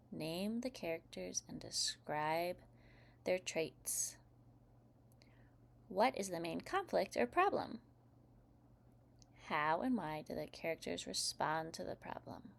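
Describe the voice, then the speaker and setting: A woman reading expressively from a script for young children in a clear, teacherly manner. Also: on the low side. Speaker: host reading children's picture books aloud.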